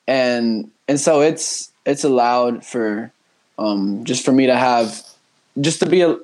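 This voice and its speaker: A man's voice: mid-range voice, takes it slowly, a little mellow, poor audio. A young man in his twenties, raised in Honduras, talking casually and earnestly as a podcast guest, with frequent fillers and restarts. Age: 20s. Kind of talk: casual conversational interview.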